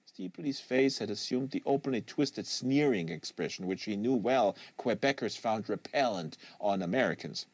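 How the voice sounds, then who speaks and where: A man's voice: on the low side, animated, normal speed, hardly any pauses, husky, no room sound. Dry, close-miked male novel reader. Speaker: audiobook reader. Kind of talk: literary narration read aloud. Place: small acoustically treated indoor studio. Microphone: close studio microphone on desk.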